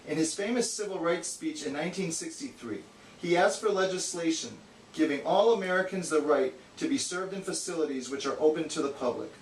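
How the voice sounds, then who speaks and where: Guy doing a nerdy know-it-all puppet, kinda high voice, lecturing, bit snooty, echoey hissy puppet show recording. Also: a little fast, natural voice. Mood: earnest, didactic. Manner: didactic, slightly condescending. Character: bookish, exasperated know-it-all male puppet. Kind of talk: amateur educational puppet show, scripted explaining. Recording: echoey room, distant mic, hissy noise.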